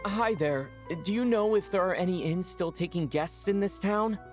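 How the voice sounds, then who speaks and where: A man's voice: high voice, moderate pace, over music, natural voice. An actor playing a polite young male traveler in a video game, asking in a courteous, friendly way.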